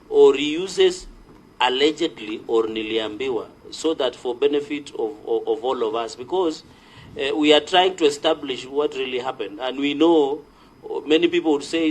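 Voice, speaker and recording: A man's voice: medium pitch, moderate pace, slight background noise, low-quality recording. A man with a Kenyan accent speaking as a senator in formal committee debate, making his case insistently, phrase by phrase.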